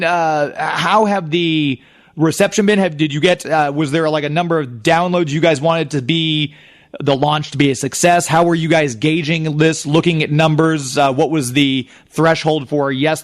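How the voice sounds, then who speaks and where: A man's voice: fairly high pitch, steady tone, moderate pace. A man hosting a radio interview, talking eagerly and enthusiastically like a fan. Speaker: radio show host and interviewer.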